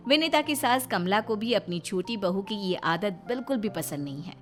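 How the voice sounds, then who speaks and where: A woman's voice: mid-range voice, animated, moderate pace. A woman narrating a scripted family story as a storyteller. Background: music.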